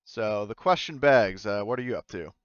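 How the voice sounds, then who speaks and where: Man, tabletop game master, lowish pitch, hedging delivery, dry muffled recording. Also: normal speed, smooth, dry recording. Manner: tentative, hedging, thinking aloud. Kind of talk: improvised tabletop game narration. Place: indoors, online voice call.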